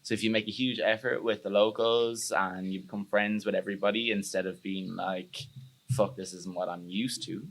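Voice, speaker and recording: A man's voice: fairly low pitch, flat delivery, moderate pace, creaky, a bit bright. A young Irish man talking as a podcast guest, earnest and rambling, with frequent restarts. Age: young adult. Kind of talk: casual interview chat.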